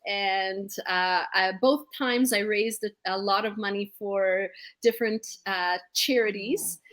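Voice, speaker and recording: A woman's voice: mid-range voice, steady tone, takes it slowly, a bit bright, low-quality recording. A woman guest singer chatting in a casual video-call interview, upbeat and breaking into song as she tells her story.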